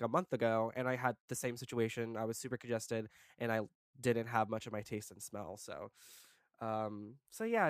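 A man's voice: medium pitch, clean tone, dry recording. A young man with a congested voice, chatting casually as a podcast co-host and rambling with lots of "like" and "um.". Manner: rambling, heavy on "like" and "um". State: congested from illness. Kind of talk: casual chat.

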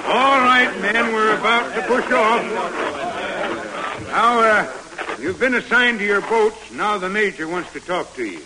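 An actor playing a blustery, folksy trading post keeper in an old-West radio drama from the 1940s. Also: male voice, high-pitched, animated, measured pace. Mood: commanding. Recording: hissy old radio broadcast, long reverb.

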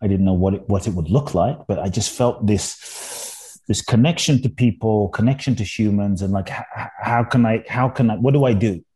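A man's voice: fairly low pitch, normal speed, dark tone. A man from Ghana raised in London, a speaker, telling his story earnestly with hesitant restarts. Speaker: podcast guest, inspirational speaker. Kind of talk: reflective storytelling.

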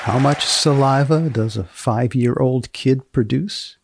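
A man hosting a science humour podcast, reading out details and putting questions in a measured, deliberate way. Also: medium pitch, vocal fry, a loud crowd, very noisy. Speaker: podcast host and magazine editor.

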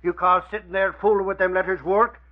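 An actor playing a blustery, easily flustered Irish sidekick in an old-time radio drama, full of folksy exclamations. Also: male voice, high voice, flat delivery, fairly quick, natural voice. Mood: blustery, defensive. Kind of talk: 1940s radio acting. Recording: muffled old radio recording.

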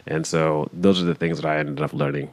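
Deep-voiced founder chatting casually. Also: male voice, fairly quick, vocal fry, heavy background noise. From Kenya. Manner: relaxed, rambling, many fillers and restarts. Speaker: company founder, guest. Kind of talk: casual interview chat.

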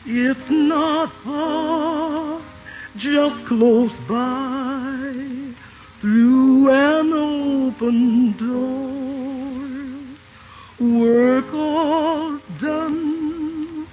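A woman singing a slow spiritual-style solo in a vintage radio broadcast. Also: high voice, steady tone, faint music behind. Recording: dull vintage radio recording.

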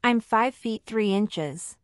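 A woman's voice: on the high side, takes it slowly, no echo, studio quality. A woman playing a polite married citizenship applicant in a scripted practice interview, answering formally and carefully in full sentences. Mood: polite, composed.

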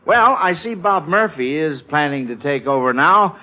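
Man, slightly high voice, radio quiz host, encouraging, hesitant, thin narrow-band vintage sound. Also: expressive, moderate pace. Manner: hesitant, encouraging, repeats himself. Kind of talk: hosting a children's radio quiz.

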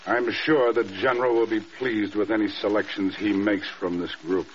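An actor with an American accent playing a dutiful, measured army major in an old-time radio drama. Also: male voice, on the low side, steady tone, slight background noise, close to an everyday voice. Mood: calm, knowing. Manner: deferential, measured. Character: dutiful, unruffled male army major, staff adjutant. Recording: dull old radio recording.

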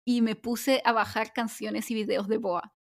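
A woman's voice: on the high side, steady tone, unhurried, gravelly. A woman in her thirties co-hosting a chat podcast, chatty and upbeat, telling long flowing anecdotes. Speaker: podcast co-host. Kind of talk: casual chat.

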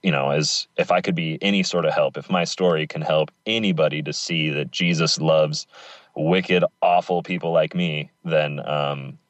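A man's voice: a bass voice, steady tone, normal speed, no echo, highs cut off. A man guest on a podcast, speaking through a disguised voice, telling his story earnestly with many hesitations. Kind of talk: casual testimony.

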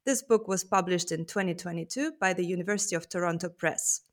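A woman's voice: lowish voice, quick pace. A woman hosting an interview, introducing her guest politely from a script with small fillers. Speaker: podcast host.

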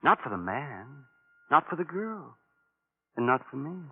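Cornered American murderer, bitter, taunting, widely swinging pitch, old radio drama, tinny sound. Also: male voice, very animated, unhurried, slightly rough, exaggerated voice. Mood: cruel, taunting. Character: bitter, cornered male killer and unfaithful husband. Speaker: actor in a radio crime drama. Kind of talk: 1950s radio melodrama acting.

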